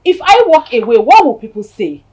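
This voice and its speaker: A woman's voice: high voice, sing-song, brisk, mellow, husky, full character voice. A woman playing a defensive, worn-out elder sister. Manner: defensive and pleading. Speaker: actor in a comedy skit. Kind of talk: comic skit acting.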